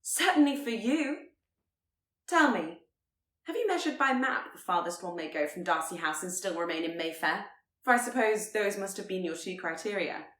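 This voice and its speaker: A woman voicing a headstrong, sharp-tongued young gentlewoman in a period romance audiobook, formal and pointed. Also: mid-range voice, echoey room, natural voice.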